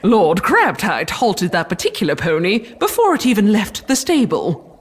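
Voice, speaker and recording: A woman playing a brisk, exasperated medieval queen in a comic audio drama, stern and articulate. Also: mid-range voice, wildly swooping pitch, slightly rough. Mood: weary exasperation.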